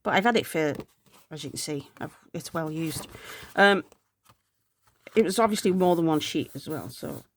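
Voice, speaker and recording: A woman's voice: low voice, normal speed, long pauses, slight background noise. A woman chatting casually while planning, congested and with a sore throat, rambling and sometimes murmuring to herself. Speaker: planner vlogger.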